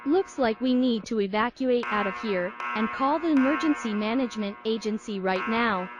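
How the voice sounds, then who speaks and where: Woman acting an alarmed, higher-voiced nuclear plant worker. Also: normal speed, over music, natural voice. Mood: dismayed, urgent. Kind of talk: amateur scripted audio drama.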